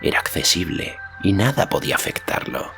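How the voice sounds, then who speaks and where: A man's voice: low-pitched, animated, brisk, vocal fry, pushed and bright, faint music behind. A man reading a fantasy novel aloud as scripted audiobook narration.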